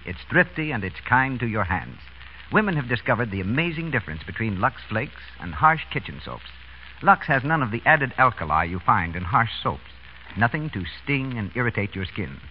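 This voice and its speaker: A man's voice: medium pitch, very animated, normal speed. An American man announcing and selling on a 1930s radio show with polished, emphatic delivery. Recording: dull, faintly noisy vintage radio.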